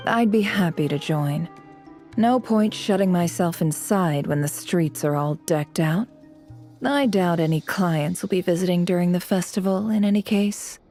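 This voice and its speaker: A woman playing a cool, calculating intelligence broker in a video game, speaking in a measured, businesslike way. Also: fairly low pitch, a little mellow, close to an everyday voice. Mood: mildly impressed. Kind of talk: video game story dialogue.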